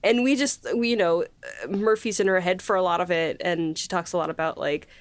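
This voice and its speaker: Woman podcast host, lower-pitched, close dry sound. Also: fairly quick, no room sound. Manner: chatty, hesitant, effusive in praise. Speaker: podcast host and series writer. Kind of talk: casual panel chat.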